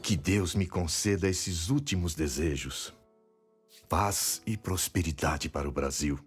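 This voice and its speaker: Deep, husky monarch. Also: male voice, unhurried, faint music behind, full character voice. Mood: resigned, devout. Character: noble male Brazilian ruler, gracious in defeat. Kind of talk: video game cutscene acting.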